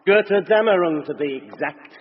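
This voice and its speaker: A man's voice: high voice, expressive. Pompous, theatrical Englishman, long reverb. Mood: pompous, fastidious. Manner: pompous, fastidious, florid. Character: pompous, cultured opera-loving male villain. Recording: dull, reverberant, faint noise.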